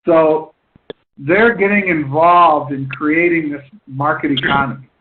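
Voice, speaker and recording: A man hosting a conference call, explaining things in a halting way, often repeating and rephrasing himself. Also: on the high side, steady tone, a little hiss or hum. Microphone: phone line. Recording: tinny phone line conference call.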